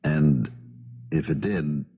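An actor playing a steady, probing police investigator in a 1970s radio drama. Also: male voice, rumbling low, slow, breathy edge, soft background music, heavily acted. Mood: probing, grave. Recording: dull vintage radio recording.

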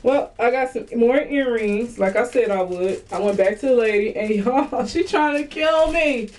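A woman's voice: fairly high pitch, moderate pace, a little mellow. A woman, chatting animatedly to viewers in a casual haul video from a small bedroom, recorded on a webcam. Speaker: vlogger.

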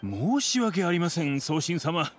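A stern forest guard in a video game, with a high voice that swings widely in pitch. He is gruff and dutiful, barking at creatures but bowing to his goddess. Clear game noise sits behind him. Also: big pitch swings, a little slow. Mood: deferential.

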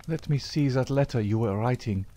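A man's voice: medium pitch, moderate pace, mellow, a little hiss or hum, natural voice. A man reading aloud, voicing a young woman who anxiously pleads with her outlaw lover. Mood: suspicious, anguished. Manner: imploring, breathless, emotional.

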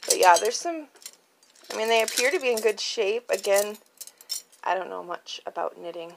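A woman's voice: medium pitch, normal speed, gravelly, noisy background. A woman hosting a casual, chatty show-and-tell in an informal, tentative way, thinking aloud as she goes. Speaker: craft channel host previewing auction items. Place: indoors at home, at a card table. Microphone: handheld phone.

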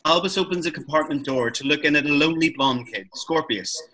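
A man's voice: a little high, brisk, pushed and bright, slight background noise, rough recording. A man reading stage directions as scripted narration for a table read over video call. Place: room at home, video call.

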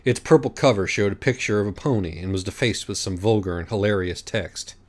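A man reading a comic story aloud as an audiobook narrator, in a deadpan, wry manner. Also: on the low side, a little fast.

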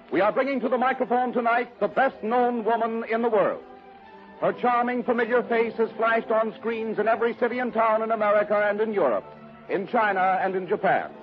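A man's voice: high-pitched, lo-fi sound. A man announcing formally in the style of 1930s American radio, on an old broadcast recording.

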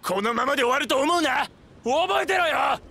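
Sharp, high-pitched sneering thug. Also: male voice, expressive, moderate pace, heavily acted. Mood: bitter, threatening. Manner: rough, mocking, threatening. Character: sneering, hot-tempered adult male thug, bullying. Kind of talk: Japanese anime-style game dub acting.

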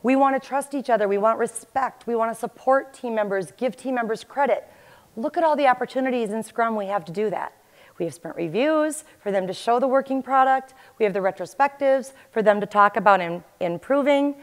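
A woman in her forties speaks as an agile coach at a conference, her voice sitting a little high. She talks animatedly and gestures toward the audience. She is picked up on a headset mic in a large hall. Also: fairly quick. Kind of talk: conference presentation.

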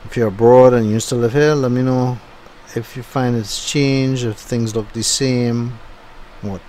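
A man's voice: medium pitch, steady tone, unhurried, warm tone, slight background noise. A middle-aged man giving a casual, rambling voice-over commentary on his own driving video, thinking aloud with fillers. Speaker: YouTube channel host. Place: home, recorded after the drive.

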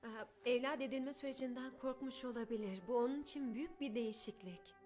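Caring, persuasive cartoon aunt, coaxing, fairly high, acted by a woman, music clearly behind. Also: fairly quick, darkish tone, natural voice. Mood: reassuring. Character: young aunt, caring but firmly persuasive. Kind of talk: dubbed cartoon acting.

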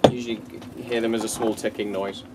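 A man in his thirties gives an informal technical explanation as a designer, matter-of-fact with hesitant pauses. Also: on the low side, little pitch movement. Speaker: loudspeaker transducer designer. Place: small indoor workshop test room. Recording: loud background hum and workshop noise.

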